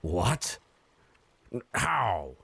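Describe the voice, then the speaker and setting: An actor playing a gruff, hearty old sea captain with a salty nautical dialect in an audio adventure. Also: male voice, lowish voice, sing-song, drawn-out words, pushed and bright, no room sound, full character voice. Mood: resolute. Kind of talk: cinematic audio adventure acting.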